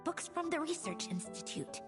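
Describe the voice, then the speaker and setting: Prickly young female genius, anime game: imperious, high, very expressive, secretly caring, over music. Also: normal speed. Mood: alarmed, assessing. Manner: imperious, sardonic.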